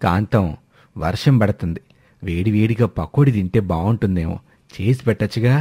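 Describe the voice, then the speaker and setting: A man playing a low, husky, mild father-in-law. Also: moderate pace, dark tone, no echo, studio quality. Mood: mellow, expectant. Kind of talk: Telugu animated moral story dialogue.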